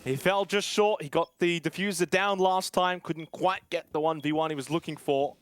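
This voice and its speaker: Excitable male esports caster. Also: a little high, moderate pace, slight background noise. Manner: excitable play-by-play that rises to shouts in big moments. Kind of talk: live esports commentary.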